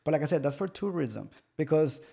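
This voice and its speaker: A man, a fighter guest on a podcast, telling stories in a loose, animated way and acting out the voices of the people he quotes. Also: a little high, fairly quick, gravelly. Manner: loose, repetitive phrasing with many restarts. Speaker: pro MMA fighter guest. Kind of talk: casual podcast chat.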